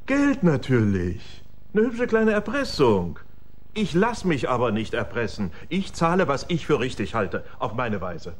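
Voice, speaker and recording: An imperious, well-to-do gentleman in a radio crime drama. His mid-pitched voice swings up and down as he gives orders. He sounds irritable and guarded, stressing his words sharply. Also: wildly swooping pitch, unhurried. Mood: indignant. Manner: imperious, agitated, emphatic. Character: haughty, irritable well-to-do gentleman with something to hide.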